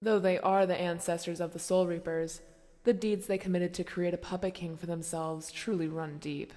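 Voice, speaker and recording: A woman's voice: on the low side, fairly even intonation, moderate pace, mellow, close to an everyday voice. A woman voicing a grave, dignified guardian in an anime fan dub, speaking formally and solemnly. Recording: long hall reverb on the voice.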